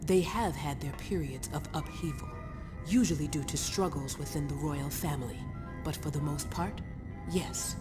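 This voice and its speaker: A woman acting a composed, knowledgeable game superheroine with a very low voice. She explains in measured phrases over clear background music. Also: lightly acted. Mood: calm, informative. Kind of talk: video game cutscene acting.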